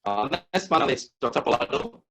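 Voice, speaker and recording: A man's voice: medium pitch, big pitch swings, fairly quick, bright, forceful tone. A man hosting an online panel, introducing each guest in a hesitant way with restarts. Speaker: host, moderator.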